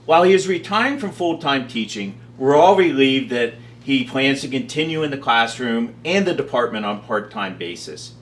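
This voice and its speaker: Man, colleague at a farewell, mid-range pitch, highly varied intonation. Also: lively intonation, normal speed, few pauses, a little hiss or hum. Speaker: colleague giving a tribute. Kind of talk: prepared tribute and short quiz answers.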